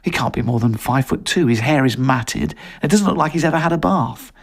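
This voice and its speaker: A man's voice: medium pitch, lively intonation, a little fast, vocal fry. A man reading a short story aloud as scripted narration.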